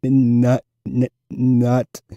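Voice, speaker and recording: A man's voice: medium pitch, completely flat delivery, extremely slow, dark tone, no room sound. A man voicing a nervous fourth-grade boy with a severe stutter, repeating sounds and words. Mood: anxious, struggling.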